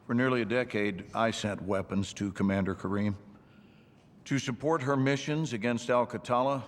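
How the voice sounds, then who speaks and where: A man playing a gruff, defiant army general in a military game cutscene, speaking in a clipped, commanding way. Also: mid-range voice, moderate pace, close to an everyday voice. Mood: solemn. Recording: game cutscene audio with loud music.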